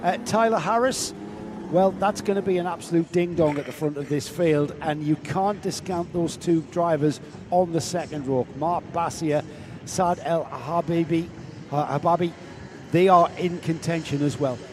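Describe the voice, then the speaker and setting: A fairly high-voiced man hosts a live karting broadcast, bantering with co-commentators and greeting viewers. Faint track noise can be heard behind him. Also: normal speed. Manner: chatty, jokey, reads out chat names. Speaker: race commentator and stream host. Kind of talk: live motorsport commentary and chat.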